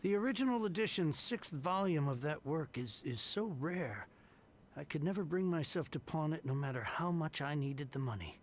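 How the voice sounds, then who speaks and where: An actor playing a grouchy older male book collector in a game, gruff and dramatic. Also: a little high, animated, moderate pace, slight background noise, lightly acted. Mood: admiring. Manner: gruff, dramatic, self-pitying. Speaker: game character.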